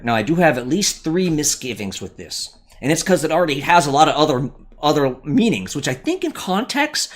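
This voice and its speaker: A man hosting a casual live commentary, thinking aloud and correcting himself as he goes. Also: mid-range voice. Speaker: channel host.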